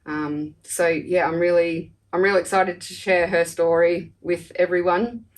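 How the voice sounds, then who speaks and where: A woman's voice: fairly low pitch, fairly even intonation, a little slow, distant mic. A woman talking in a casual conversational way as a podcast interviewer.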